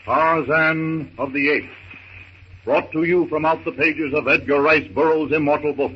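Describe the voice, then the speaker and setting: A man giving dramatic scripted narration for a 1930s radio serial, theatrical and deliberate. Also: fairly high pitch, fairly even intonation. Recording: noisy, muffled 1930s radio recording.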